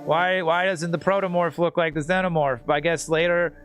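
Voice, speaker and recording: A man's voice: fairly high pitch, fairly even intonation, brisk, a bit bright. A man streaming a video game, giving casual, slangy, rambling and joking commentary to his chat. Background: video game music.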